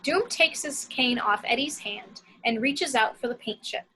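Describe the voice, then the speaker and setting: A woman narrates a script as the reader of action lines. Her voice is on the high side and clearly bright, with a forward edge. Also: lively intonation, a little slow, poor audio. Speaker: narrator reading action descriptions.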